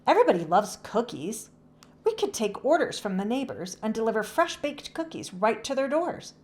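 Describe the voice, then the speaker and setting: Woman voicing an eager nine-year-old storybook girl, lively pitch. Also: normal speed. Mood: enthusiastic.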